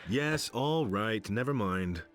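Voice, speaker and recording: A man's voice: on the low side, animated, slow, faint music behind. An actor voicing a curt male adventurer-mage, the hero of a video game, with a dry manner. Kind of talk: dubbed video game dialogue.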